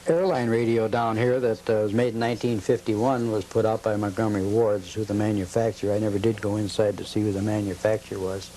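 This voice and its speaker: Brief: male guest, low mellow voice, matter-of-fact, faint hiss. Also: fairly even intonation, fast, hardly any pauses, poor audio. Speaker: guest collector. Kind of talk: casual interview answers. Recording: faint noise, old cable TV audio.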